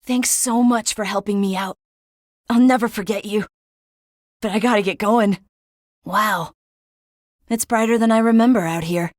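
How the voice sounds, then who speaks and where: A woman plays a wistful video game character with a mid-pitched voice. She speaks as someone new to the world, in a dry, close recording. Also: normal speed, long pauses, no room sound, natural voice. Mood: wistful, wondering.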